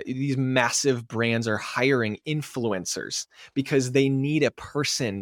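Young guy chatting on a podcast, excitable, lots of likes and laughs, dry sound. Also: mid-range voice, dry recording. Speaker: podcast guest. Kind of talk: casual conversational interview.

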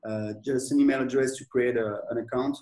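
A man with a French accent, a software company owner speaking in a casual interview, hesitant, with many fillers and restarts. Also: mid-range voice, steady tone, fairly quick, reverberant. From France.

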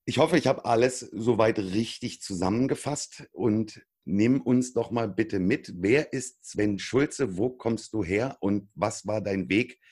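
A man's voice: on the low side, unhurried, hardly any pauses, a little mellow, husky. A man hosting a podcast interview, introducing his guest with upbeat enthusiasm. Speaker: podcast host.

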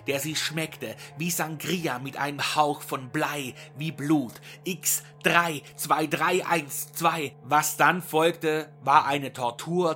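A man's voice: on the high side, bright, forceful tone. A man delivering dramatic literary narration in a solemn, mock-epic manner. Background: music.

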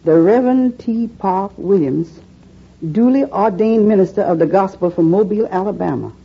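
An actress playing a helpful, chatty older landlady with a folksy, husky way of speaking, in 1940s radio acting. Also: medium pitch, normal speed. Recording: dull old radio recording.

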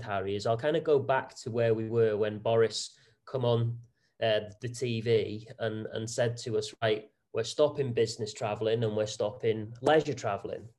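Man, 40s, interview guest, chatty, fillers and restarts, mid pitch. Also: darkish tone. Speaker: property investor guest. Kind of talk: conversational interview answers.

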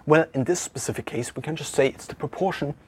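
A man speaking English with a German accent, thinking aloud to a camera in an empty room, halting and correcting himself as he goes. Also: a little high, a little hiss or hum. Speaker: vlogger. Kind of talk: casual reflective monologue to camera.

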